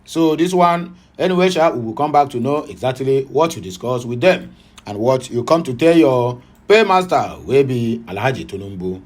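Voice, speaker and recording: A man's voice: medium pitch, expressive, normal speed, darkish tone. A middle-aged Nigerian man with an Igbo accent hosting an informal morning news show, reading headlines with many "uh" fillers and Pidgin asides. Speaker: online news host.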